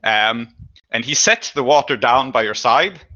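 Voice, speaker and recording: A man's voice: medium pitch, animated, a little slow, bright, forceful tone, dry recording, lo-fi sound. A man improvising tabletop game narration as game master, thoughtful and conversational.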